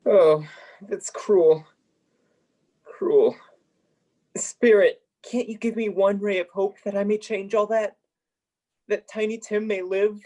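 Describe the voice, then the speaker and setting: A performer playing a fearful, repentant old miser in a radio play, halting and pleading. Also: mid-range voice, steady tone, mellow, lightly acted.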